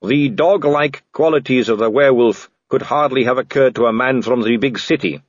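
A man's voice: mid-range voice, normal speed, dry recording, lo-fi sound, pitched above the performer's own voice, close to an everyday voice. A man voicing a stiff male official who speaks formally, as if reciting a rehearsed line. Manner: formal, distant.

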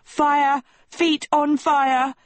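A woman's voice: sits high, flat delivery, very slow, dry recording, pitched above the performer's own voice. A woman voicing a blunt, combative, exasperated teenage girl in an audiobook, snappy and sarcastic. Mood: frantic.

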